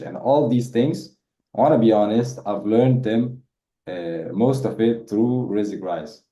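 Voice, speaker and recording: A man's voice: fairly low pitch, mellow, roomy sound, poor audio. A man whose first language is Danish, a guest in a casual interview, talking hesitantly with many repeated words and restarts. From Denmark.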